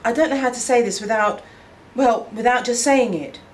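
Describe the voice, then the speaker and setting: A woman's voice: fairly high pitch, moderate pace, pitched above the performer's own voice, close to an everyday voice. Woman doing an anxious teen mum from a novel, earnest and tentative, bit of noise behind. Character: anxious, determined teenage young mother.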